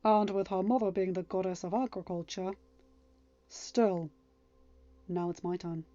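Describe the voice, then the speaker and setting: A woman's voice: mid-range voice, fairly even intonation, a little slow, mellow, highs cut off, lightly acted. A woman voicing a kind but firm young king in a storybook, with a courteous, decisive and formal manner. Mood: musing.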